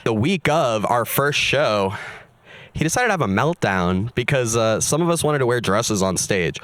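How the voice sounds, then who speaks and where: A man's voice: medium pitch, animated, normal speed, a bit bright, no room sound. A young man, a streamer and musician, narrating his own story in a candid, wry, self-mocking way. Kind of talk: personal narration to camera.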